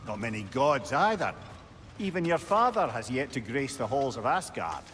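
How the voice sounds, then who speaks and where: A wry old Scottish sage, talkative and learned, with an animated, swooping delivery. It is game cinematic dialogue with noticeable ambience behind it. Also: male voice, medium pitch, normal speed, a little hiss or hum. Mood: chatty, informative. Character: garrulous, wry old male sage companion. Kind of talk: video game cinematic acting.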